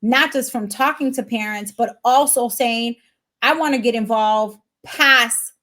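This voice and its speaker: A woman hosting a casual interview chat, lively and animated, hyping up her guest. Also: fairly high pitch, unhurried. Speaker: host.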